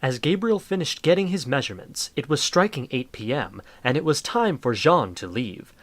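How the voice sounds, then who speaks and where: A man's voice: medium pitch, lively intonation, normal speed, a bit bright, no room sound. A man narrating a scripted audio drama in storybook fashion.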